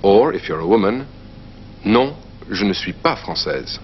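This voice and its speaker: A man presenting a language course in a clear, measured teaching voice. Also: lowish voice. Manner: clear, measured teaching delivery with lively intonation. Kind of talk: scripted educational narration. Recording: dull, slightly noisy TV soundtrack.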